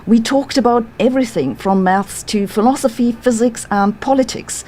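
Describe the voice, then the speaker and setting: A woman's voice: fairly high pitch, normal speed, darkish tone, a little hiss or hum. A middle-aged woman with a German accent who reads a scripted personal story in a dry, sardonic, matter-of-fact way. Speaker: physicist telling her story. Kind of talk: scripted first-person narration.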